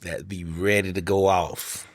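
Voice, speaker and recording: Teasing American man with a lowish voice. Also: flat delivery, measured pace, no room sound, studio quality. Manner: colloquial, teasing. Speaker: podcast co-host. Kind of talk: casual banter with his wife.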